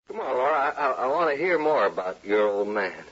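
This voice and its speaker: An actor playing an easygoing, slightly flustered saloon keeper in an old-time radio western. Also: male voice, medium pitch, big pitch swings, moderate pace, fairly bright tone, breathy edge. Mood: amiable, flustered. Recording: noisy muffled old radio recording.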